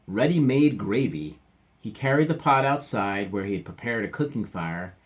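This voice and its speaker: A man teacher reading a picture book aloud to children, stopping for gentle chatty asides. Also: lowish voice, animated, a little fast.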